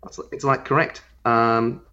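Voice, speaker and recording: A man's voice: mid-range voice, little pitch movement, a little slow, bright, forceful tone. A man with an Australian accent co-hosting a podcast, giving casual opinions in a hesitant, rambling way. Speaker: podcast co-host. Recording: slightly dull lo-fi sound.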